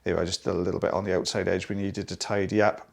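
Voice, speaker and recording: A man's voice: sits low, flat delivery, quick pace, creaky. A man with a Welsh accent, an audiologist narrating an ear procedure in a friendly, explanatory way and turning aside to talk to viewers. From South Wales. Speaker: audiologist presenting ear wax removal.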